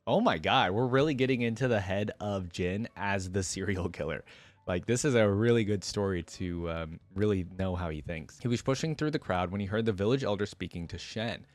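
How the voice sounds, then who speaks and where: Low-voiced man reading and reacting. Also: fairly quick, dry recording. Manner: casual, enthusiastic asides with frequent "like". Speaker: reaction video creator. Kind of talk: reading a story aloud with casual commentary.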